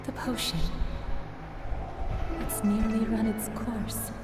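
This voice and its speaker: A gentle, sorrowful grandmother in a fantasy game. Her fairly high voice moves slowly and haltingly, full of earnest feeling. Music plays clearly behind her. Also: flat delivery. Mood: pleading, grieving. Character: gentle, sorrowful grandmother with a long-lost past. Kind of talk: video game voice acting.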